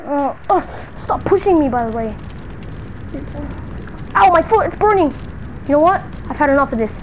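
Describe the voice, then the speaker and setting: A female gamer with a high voice improvises a role-play scene. The talk is slangy and exclamatory, played up for drama. There is light noise in the recording. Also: lively intonation, moderate pace. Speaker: gamer narrating a role-play short film. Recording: noisy home gaming recording.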